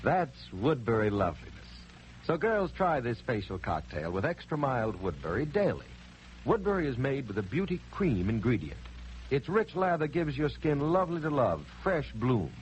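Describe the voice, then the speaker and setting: A man's voice: mid-range voice, sing-song, normal speed. An American man narrating advertisements as a polished 1940s radio announcer, telling little stories to sell. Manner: polished storytelling salesmanship. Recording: faintly noisy 1940s radio recording.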